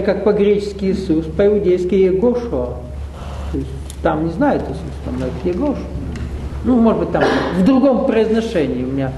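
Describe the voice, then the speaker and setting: A man's voice: high-pitched, warm tone, a little hiss or hum. A man leading a spiritual seminar, lecturing a live audience in a conversational way with rhetorical questions and wry asides. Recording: roomy hall echo.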